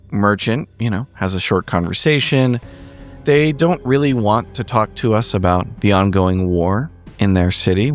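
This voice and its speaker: A man giving a casual spoken recap of a horror roleplaying story, in measured, wry phrasing. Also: fairly low pitch. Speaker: podcast player giving the episode recap.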